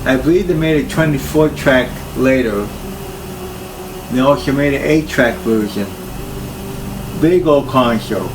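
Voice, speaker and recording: Slow-talking older man, halting delivery. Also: medium pitch, background noise. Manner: halting, plain-spoken, trailing phrases. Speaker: collector presenting his tape machines. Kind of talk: casual unscripted equipment showcase. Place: indoor room full of audio gear.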